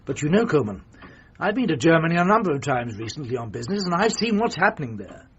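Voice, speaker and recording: An actor playing a pompous, patronising British upper-class banker in a radio drama, speaking in a measured way. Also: male voice, a little high, sing-song, fairly bright tone. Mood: smug. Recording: muffled, faint noise.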